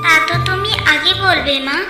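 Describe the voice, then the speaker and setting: A woman's voice: very high voice, moderate pace. A woman voicing a persistent, sweet-loving little village girl with a wheedling, sing-song cartoon delivery. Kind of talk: cartoon child voice. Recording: echoey, music underneath.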